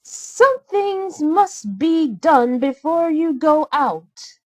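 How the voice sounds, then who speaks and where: A spiteful young storybook stepsister, acted out by a woman. The voice is high and bossy, with drawn-out, demanding calls and big swings in pitch. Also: sing-song, darkish tone, no echo, heavily acted, low-quality recording.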